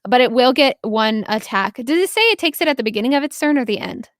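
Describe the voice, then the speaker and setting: A woman's voice: fairly high pitch, animated, fast talker, dry recording, studio quality. A woman running a tabletop game as game master, narrating informally with vivid improvised descriptions.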